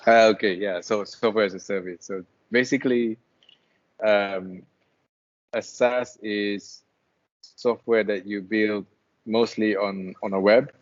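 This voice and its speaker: Low-voiced man on a dull call line. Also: slow pace. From Malaysia. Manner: relaxed, hesitant with many restarts. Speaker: guest, freelance web developer. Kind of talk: casual interview chat. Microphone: video call.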